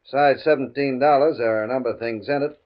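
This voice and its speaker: An actor playing a smooth, menacing gambling boss in 1950 radio drama style, mock-polite, controlling and taunting. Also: male voice, mid-range voice, fast talker, lightly acted. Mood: smooth, sly. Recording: muffled old radio recording.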